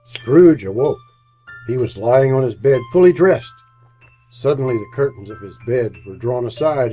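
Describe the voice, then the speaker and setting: A man's voice: mid-range voice, warm tone, rough recording. A man narrating a classic story in the style of an old-time radio drama, with scripted storytelling delivery.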